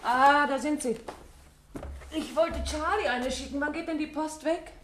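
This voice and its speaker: An actress playing a lively, chatty wife in a 1950s radio play, speaking in a natural, conversational way. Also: female voice, high-pitched, a little slow, slight background noise. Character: chatty, concerned wife of a detective.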